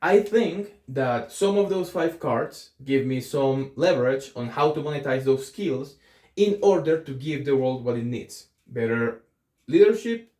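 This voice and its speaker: A young man with a Colombian accent speaks casually to camera, thinking aloud as he goes. His voice sits at a middle pitch and bounces off the walls of an echoey room. He pauses on ums and corrects himself mid-sentence. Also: animated, darkish tone. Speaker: vlogger. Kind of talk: casual talking-to-camera vlog.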